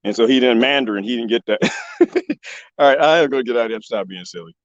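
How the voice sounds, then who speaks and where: A man live streaming from the desert, joking and rambling in a loose, animated way. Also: medium pitch, quick pace, no room sound, lo-fi sound. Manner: animated, joking, imitates bird chirps. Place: outdoors in the desert.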